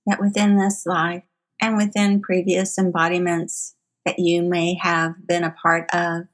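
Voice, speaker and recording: A woman leading a guided meditation in formal, solemn phrasing with frequent pauses. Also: on the low side, flat delivery.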